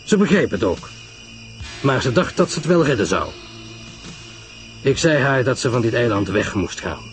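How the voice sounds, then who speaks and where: Guy doing older detective man, grave n sure of himself, radio mystery, very animated pitch, explains everything emphatically, bit of music. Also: normal speed, close to an everyday voice.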